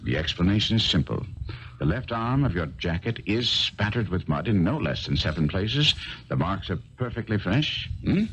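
Self-assured gentleman detective, very low voice, lively intonation, precise, 1970s radio drama. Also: moderate pace, dull audio. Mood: courteous, reassuring. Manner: urbane, precise, dryly teasing. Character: brisk, courteous, self-assured male detective. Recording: faintly noisy 1970s radio broadcast.

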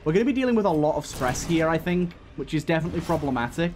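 A man's voice: a little high, lively intonation, rapid-fire, noisy background. A man giving casual let's-play commentary, thinking aloud as he plays. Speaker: gaming video host.

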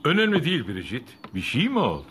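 An actor playing a gruff but kind old mountain grandfather in an animated cartoon dub. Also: mid-range voice, quick pace, a little hiss or hum. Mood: reserved, polite. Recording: music under the voice.

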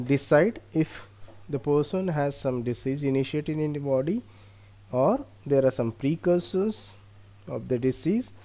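Mellow Indian-accented man presenting. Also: a little high. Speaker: researcher presenting a project. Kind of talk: slide presentation narration.

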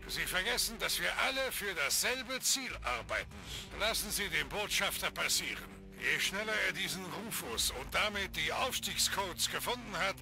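Falsely polite, menacing villain, higher gravelly voice, lively. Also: male voice, full character voice. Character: smooth, menacing male villain leader. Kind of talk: video game voice acting. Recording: game audio over background music.

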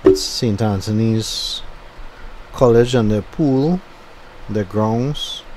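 Low-key Trinidadian man narrating a drive. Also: slow, noisy background. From Trinidad and Tobago. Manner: low-key, unenthusiastic, musing. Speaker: driving vlogger. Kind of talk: casual running commentary. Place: inside a moving car.

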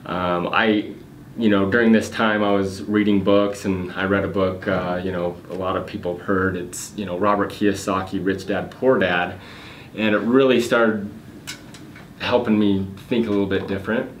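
A man with a very low voice answers interview questions in an unhurried, halting way, full of fillers and restarts. He is in an echoey office meeting room, picked up from across the table. A faint noise hums underneath. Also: steady tone. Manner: hesitant, many fillers and restarts. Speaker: guest, real estate investor.

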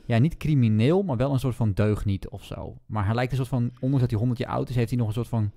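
Man, casual book podcast co-host, mellow low voice, quick delivery. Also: creaky. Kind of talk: casual book discussion, retelling the story.

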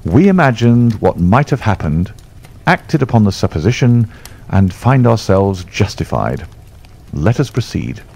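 A man's voice: lowish voice, big pitch swings, a little slow, vocal fry, a little mellow, slightly rough, full character voice. A man playing a cool, assured detective. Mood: assured calm. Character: cool, confident male detective, sharp-witted.

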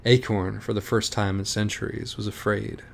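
Casting: male parody-audiobook narrator, somewhat low voice, mock-literary storybook delivery. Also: flat delivery, moderate pace, creaky, slight background noise.

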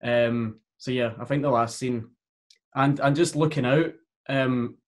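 A young man gives a casual interview answer in a flat, medium-pitched voice. He is talking from a room at home on a video call. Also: a little slow. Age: young adult. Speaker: stage actor.

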